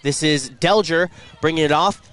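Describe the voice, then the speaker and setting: Stuffy-nosed guy doing football play-by-play on radio, kinda high voice, gets going on the plays. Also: moderate pace. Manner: rising excitement on plays. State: congested from a cold. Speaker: play-by-play announcer. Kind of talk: radio sports commentary.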